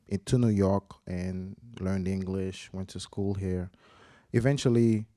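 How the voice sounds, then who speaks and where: A man's voice: low voice, warm tone, no room sound, clean studio sound. A man in his forties from Haiti, a researcher on a conference panel, telling his story earnestly with many restarts and fillers. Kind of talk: panel discussion, personal story.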